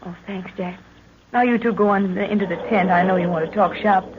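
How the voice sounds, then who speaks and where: An actress playing a professor's plucky but shaken young daughter, melodramatic and genteel, in an old-time radio drama. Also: female voice, mid-range voice, steady tone, a little fast, close to an everyday voice. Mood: weary, plucky. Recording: dull, noisy vintage radio recording.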